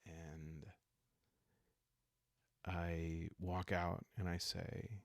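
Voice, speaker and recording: A soft-spoken man with a deep voice that crackles with vocal fry narrates a solo roleplay at an unhurried, halting pace. His delivery is calm and meant for falling asleep. The sound is dry, with no room around it. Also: no room sound. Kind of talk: calm improvised solo roleplay narration, sleep-aid ASMR.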